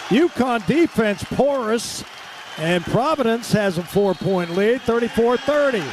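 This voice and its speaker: A man's voice: very high voice, animated, a little slow. An American man calling live radio basketball play-by-play in clipped, present-tense phrases. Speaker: play-by-play announcer. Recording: clear crowd and arena background noise.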